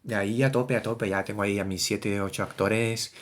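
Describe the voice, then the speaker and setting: A man, co-hosting a casual culture podcast as a theatre director, animated and playful, exclaiming often. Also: lowish voice, brisk, a crowd in the background. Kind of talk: casual chat.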